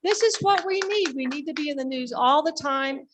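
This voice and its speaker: Female society director, somewhat high voice, enthusiastic and colloquial, informally presenting to members. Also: expressive, normal speed, slight background noise. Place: live members' meeting.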